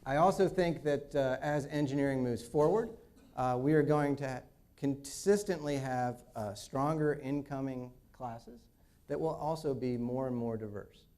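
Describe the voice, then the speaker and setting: Male dean on a campus panel, mellow tone, halting delivery full of fillers. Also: medium pitch. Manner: halting, many "uh" fillers and restarts. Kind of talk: unscripted panel remarks.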